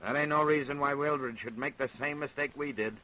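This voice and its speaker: A man acts a stubborn, embittered working-class American father. The voice is medium in pitch and hard-edged, in a vintage radio drama recording. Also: brisk, bright, forceful tone, lightly acted, rough recording. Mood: bitter. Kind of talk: 1930s radio drama acting.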